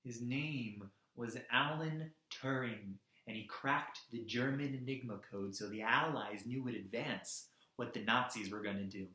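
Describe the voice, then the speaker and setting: A man performs an impassioned male activist in a dramatic monologue. His mid-pitched voice carries grief and defiance in short, emphatic phrases. The room around him is noticeably echoey. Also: bright, forceful tone. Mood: quiet, mournful. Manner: emphatic, halting delivery in short weighted phrases.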